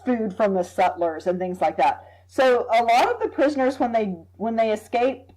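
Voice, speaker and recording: Measured woman historian explaining. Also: a little high, darkish tone, low-quality recording. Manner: measured, thoughtful, repeats words while thinking. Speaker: history professor, guest. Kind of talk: expert explaining in an interview.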